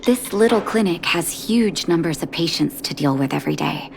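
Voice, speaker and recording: A caring woman doctor from a video game, with a slightly low pitch. She is composed, polite and quietly firm, in measured phrases. There is faint background noise. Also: animated, gravelly. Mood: warm, grateful. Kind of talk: video game character acting.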